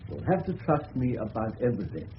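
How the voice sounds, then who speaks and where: An actor playing an assured, persuasive English colonel in a radio drama. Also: male voice, medium pitch, sing-song, fast, close to an everyday voice. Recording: muffled, faintly noisy 1970s radio recording.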